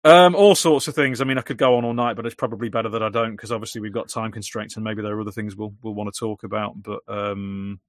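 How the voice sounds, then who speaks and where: Fast-talking British man, droning voice. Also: lowish voice, level tone, dry recording, studio quality. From Britain. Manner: rambling, repeats words when restarting. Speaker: YouTuber guest. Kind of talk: casual chat.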